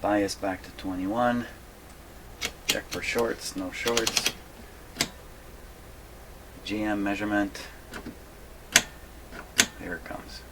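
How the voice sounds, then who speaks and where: Low voiced guy doing a casual repair demo in his shop, kinda muttering along, noticeable background noise. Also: fairly even intonation, very slow, long pauses. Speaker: host of an electronics repair video. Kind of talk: casual hands-on demonstration, thinking aloud. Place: home radio workshop.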